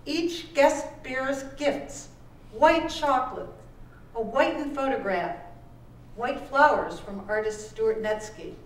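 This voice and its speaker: A woman author reading her own literary text aloud at a live event, with measured, deliberate phrasing. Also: sits high. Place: live performance event in a room. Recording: roomy, distant microphone with background noise.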